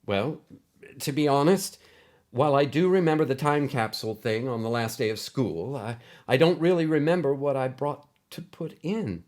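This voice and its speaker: Polite thirtysomething male ex-pupil performed by a man, somewhat raised pitch, halting, sheepish, story narration dialogue. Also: a little mellow, close to an everyday voice. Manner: halting, apologetic.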